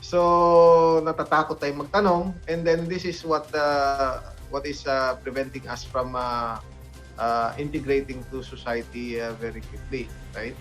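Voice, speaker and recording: A man with a Filipino accent hosting a casual panel talk, speaking haltingly with repeated starts. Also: mid-range voice, level tone, fairly bright tone, poor audio. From Philippines. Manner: halting, with hesitant repetitions. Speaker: talk show host. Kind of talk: casual panel talk in mixed Tagalog and English. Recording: music behind the voice. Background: background music.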